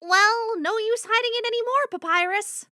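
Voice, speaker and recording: Woman voicing a high, bright motherly spider lady. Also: normal speed. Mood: gentle, coaxing. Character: motherly female spider monster, caring and firm. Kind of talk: amateur comic dub voice acting. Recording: music bed under the voice.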